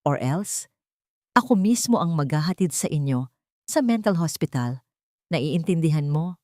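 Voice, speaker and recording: A woman's voice: low-pitched, lively intonation, a little slow, a little mellow, no room sound, studio quality. A woman voicing a cruel, sweet-faced rich woman who taunts and threatens children in an audio drama. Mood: hissed threat. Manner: menacing, taunting.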